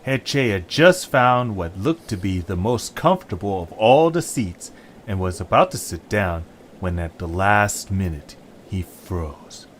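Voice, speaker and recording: A man's voice: sits low, very animated, unhurried, a little hiss or hum. A man reading scripted narration for an audio story. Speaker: narrator and lead voice actor.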